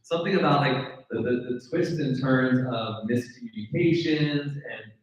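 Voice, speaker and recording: A man's voice: medium pitch, normal speed. An American man moderating an informal audience discussion as host. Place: indoor live event room. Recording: echoey room, distant dull mic.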